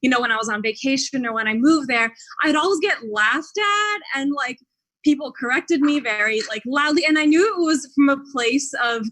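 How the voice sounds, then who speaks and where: Young woman, panel guest, somewhat high voice, candid and chatty. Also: lively intonation, moderate pace, a bit bright. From Dubai. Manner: candid, with lots of "like". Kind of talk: casual reflective chat.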